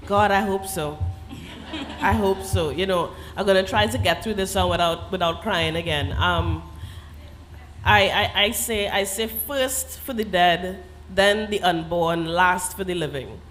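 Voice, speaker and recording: A woman's voice: fairly low pitch, a little slow, fairly bright tone, a little hiss or hum. A woman novelist with a Trinidadian accent, talking reflectively and earnestly on a festival panel, laughing often and slipping into creole phrasing. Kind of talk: literary festival panel talk.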